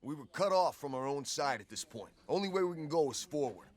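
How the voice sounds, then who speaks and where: An actor playing a cocky soldier who tells war stories casually in clipped, wry military slang for a video game. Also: male voice, a little high, expressive, brisk, soft background music, lightly acted. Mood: tense recounting. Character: cocky male soldier swapping war stories with his squadmate. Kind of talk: casual in-character storytelling.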